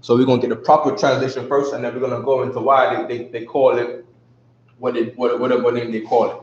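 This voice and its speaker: A man's voice: mid-range voice, flat delivery, fast talker, a little hiss or hum. Echoey far-off man teaching a class. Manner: repeats himself, constantly asks "you know what I'm saying?". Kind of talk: casual live classroom lesson. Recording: echoey, distant, dull live stream.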